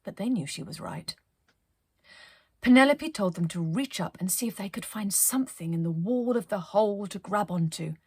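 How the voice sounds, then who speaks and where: A woman narrating a children's story as a storyteller, in an expressive read-aloud style. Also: mid-range voice, moderate pace, slightly rough, dry recording.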